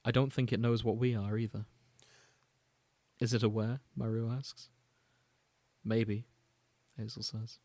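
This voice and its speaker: A man's voice: fairly low pitch, little pitch movement, measured pace, long pauses, a little mellow, dry recording. A man hosting a podcast, reading and summarizing fan fiction as a storyteller and slipping into casual asides. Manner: storytelling, alternating read-out prose with casual asides. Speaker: podcast host.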